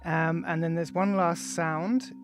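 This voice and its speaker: A man, the author, introducing and reading his own audiobook, speaking casually with frequent ums and pauses. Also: a little high, steady tone. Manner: casual, hesitant, many ums between phrases. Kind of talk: audiobook introduction, loose spoken then read text. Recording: music playing faintly behind.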